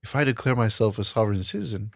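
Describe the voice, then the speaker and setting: A man's voice: lowish voice, very fast, creaky, dry recording, clean studio sound. A man co-hosting a podcast in casual discussion, explaining things through examples and analogies. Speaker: podcast co-host.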